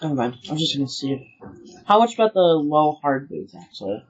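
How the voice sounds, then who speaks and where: A woman's voice: low voice, animated, a little fast, gravelly. A woman talking casually as a player in a tabletop game. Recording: dull lo-fi sound.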